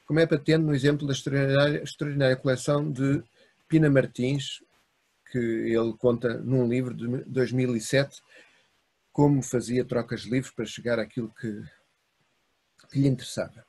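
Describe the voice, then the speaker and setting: Man, European Portuguese accent, university lecturer, husky voice, measured enumerating delivery, video call webcam. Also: medium pitch, steady tone, darkish tone. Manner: measured, enumerating in short phrases. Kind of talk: academic lecture read from notes.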